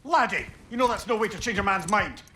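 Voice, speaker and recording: An actor playing a wry, calming talking head in a video game. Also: male voice, high-pitched, fast. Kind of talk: video game cutscene acting.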